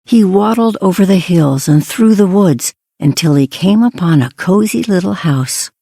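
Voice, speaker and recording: Casting: female children's narrator, scripted fairy-tale reading, mellow tone, lively intonation. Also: on the low side, moderate pace, gravelly, no room sound.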